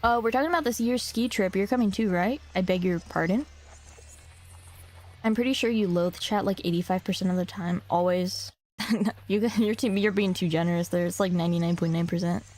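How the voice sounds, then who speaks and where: A young woman streaming, talking casually to her chat in slang and reading game text aloud, a little hoarse from a cough. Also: medium pitch, a little fast, a little mellow. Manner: slangy, rambling, talks to chat.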